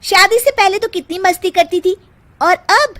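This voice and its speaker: A woman's voice: very high voice, normal speed, fairly bright tone, voice pushed up. A woman voicing a mischievous teenage girl who loves pranks, talking in a giggly, teasing way for a cartoon story. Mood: playful.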